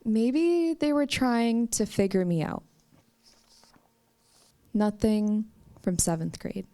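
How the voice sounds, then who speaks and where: A young woman from the United States telling a personal story at a live campus story slam, with slow, emphatic phrasing. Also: on the high side, dark tone. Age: young adult. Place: stage at a campus story event.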